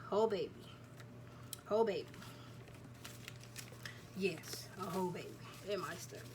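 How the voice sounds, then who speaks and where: A woman's voice: fairly low pitch, lively intonation, normal speed, noisy background. Chatty nineteen-year-old woman vlogging. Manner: chatty, repeats herself, addresses viewers as y'all. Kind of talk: casual storytime chat to camera.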